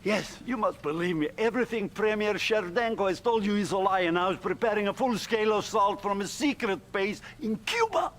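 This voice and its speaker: An actor playing a frantic, pleading defecting Soviet scientist with a Russian accent in a video game cutscene. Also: male voice, high-pitched, animated, fairly quick, hardly any pauses, fairly bright tone. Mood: urgent, frightened. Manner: agitated, pleading.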